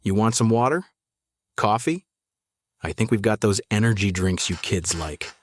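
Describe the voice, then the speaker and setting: A man playing a tired middle-aged policeman in an audio drama, fatherly and conversational while quietly probing. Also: on the low side, normal speed, a little hiss or hum, natural voice. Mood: calm, probing. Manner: casual, conversational, subtly probing. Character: tired gray-haired cop, fatherly yet quietly dangerous.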